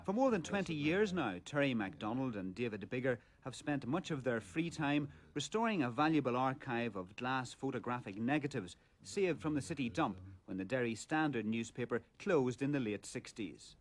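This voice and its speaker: A man's voice: mid-range voice, lively intonation, normal speed, few pauses. A man reading scripted narration for a television news report, as a reporter. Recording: archive TV report with clear background noise.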